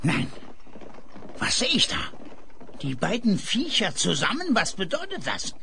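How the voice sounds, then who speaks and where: Ruthless radio-play schemer: sharp, gravelly, raspy, gloating, higher-set male voice. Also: wildly swooping pitch, a little slow, a little hiss or hum, heavily acted. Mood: alarmed, menacing. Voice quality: raspy, creaky. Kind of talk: 1970s German radio play acting.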